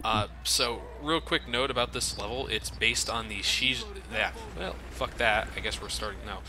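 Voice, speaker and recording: A man's voice: lowish voice, moderate pace. A man commentating over a video game, joking sarcastically and swearing freely. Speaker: let's play commentator. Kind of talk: casual gameplay commentary.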